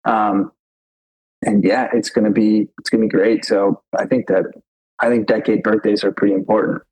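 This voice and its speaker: A man's voice: lowish voice, level tone, normal speed, darkish tone, breathy edge, rough recording. A man of thirty co-hosting a podcast, chatting casually and rambling with lots of filler words. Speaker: podcast co-host. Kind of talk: casual chat.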